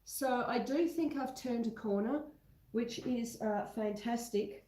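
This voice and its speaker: A woman's voice: on the high side, unhurried, warm tone, roomy sound, low-quality recording. An Australian woman hosting a homesteading livestream, chatting casually with viewers and answering their comments, her nose still a little blocked up. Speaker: homesteading channel host. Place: indoors at home in a roomy space.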